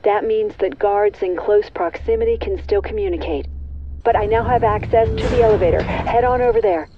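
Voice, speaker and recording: A woman playing a cool-headed vampire tech handler giving calm, instructive briefings in a video game. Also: mid-range voice, moderate pace, lo-fi sound, background noise, natural voice. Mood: focused, calm. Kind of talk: scripted game dialogue, mission briefing.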